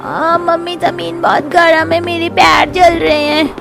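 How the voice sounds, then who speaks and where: A small village child from a cartoon , acted by a woman, whining and pleading. The voice is very high, pitched well up, with clear music behind it. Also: little pitch movement, measured pace, heavily acted. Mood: pained whining. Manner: whiny, pleading.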